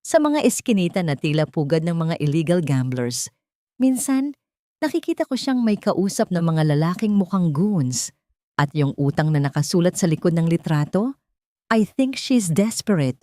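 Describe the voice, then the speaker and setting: A woman doing a grave, low-voiced chauffeur. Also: very animated, normal speed, mellow, dry recording, clean studio sound. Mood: serious. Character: low-voiced serious male family chauffeur. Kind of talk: audiobook drama dialogue.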